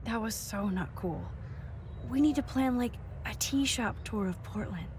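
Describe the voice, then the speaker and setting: A woman acting a caring, nerdy young student photographer in a video game, hushed and supportive, quietly determined. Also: fairly high pitch, measured pace, a little hiss or hum, lightly acted. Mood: playful, shy. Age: young adult.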